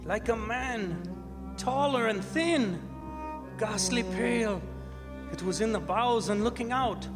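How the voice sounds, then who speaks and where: A man playing a frightened, superstitious ship's mate in a radio drama, with halting, dramatic foreign-sailor speech. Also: sits high. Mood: haunted, frantic. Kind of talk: old-time radio drama acting. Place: performing arts theatre stage. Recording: stage hall reverb with loud music.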